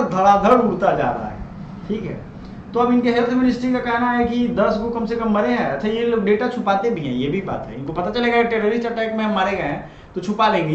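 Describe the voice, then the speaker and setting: High voiced guy teaching, chatty lecture style, keeps checking u get it, echoey muffled room. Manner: colloquial, often checks listeners follow. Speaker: teacher explaining current affairs. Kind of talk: informal explanatory lecture. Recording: dull, noisy, echoey room recording.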